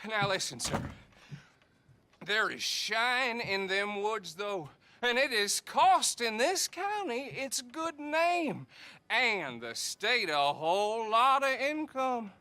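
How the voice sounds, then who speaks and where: An actor playing a tipsy, folksy, courteous small-town sheriff in a video game cutscene. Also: male voice, very high-pitched, expressive, takes it slowly, sharp tone, no room sound, full character voice.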